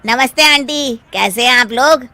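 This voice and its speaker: Woman playing an easygoing, bright-voiced young man. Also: sits high, unhurried, full character voice. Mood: friendly. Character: easygoing, sceptical young male houseguest.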